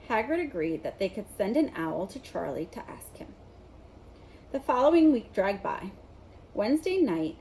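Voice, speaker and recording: A woman narrating a children's novel in an expressive read-aloud style. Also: mid-range voice, slight background noise, low-quality recording. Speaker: storybook reader.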